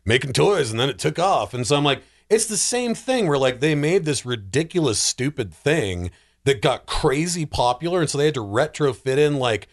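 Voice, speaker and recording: A man's voice: on the high side, sing-song, normal speed. A man in a casual film discussion as a podcast panelist, jokey and rambling, acting out quoted lines as he goes.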